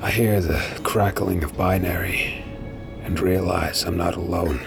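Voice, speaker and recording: Man narrating an audio drama, very low voice, gravelly texture, terse and tense, first person, music under it. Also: fairly even intonation, a little slow.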